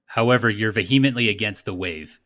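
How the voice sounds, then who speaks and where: A fast-talking American man with a low, bright, forceful voice, ribbing his co-host in easy sports banter. Close, dry recording. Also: no room sound. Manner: teasing, laughing banter. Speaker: podcast co-host. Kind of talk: casual sports chat.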